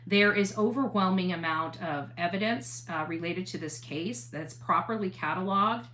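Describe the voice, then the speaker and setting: Woman, lower-pitched voice, earnest commentary, halting emphatic delivery, faint background noise. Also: a bit bright, low-quality recording. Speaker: interviewee, online true crime commentator. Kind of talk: earnest monologue to an audience.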